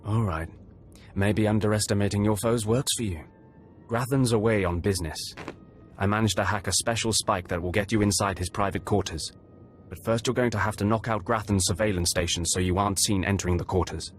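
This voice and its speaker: Male actor as low-pitched Sith spy, condescending, sarcastic, video game cutscene, music behind. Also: steady tone, fairly quick, lightly acted. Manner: sneering, condescending. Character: disdainful, sarcastic Sith undercover operative.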